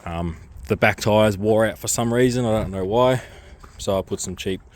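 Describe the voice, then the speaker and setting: Low-voiced man with a cold, rambling. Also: normal speed. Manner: rambling, hesitant, lots of ums. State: getting a cold, losing his voice. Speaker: car vlogger. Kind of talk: casual walkaround vlog.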